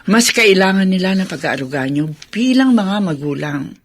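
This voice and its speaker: A kind Filipino elder-sister figure in a radio drama. She is encouraging and gently advising, with animated melody and a husky tone. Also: medium pitch, normal speed. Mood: earnest, encouraging. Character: kind, encouraging elder-sister woman who minds children.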